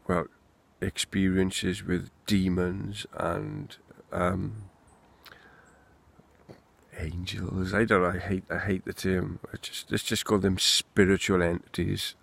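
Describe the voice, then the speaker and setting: A man's voice: deep voice, little pitch movement, takes it slowly, vocal fry, fairly bright tone. A Welsh man rambling candidly and swearing freely in an unscripted monologue, recorded outdoors in the wind. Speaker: vlogger.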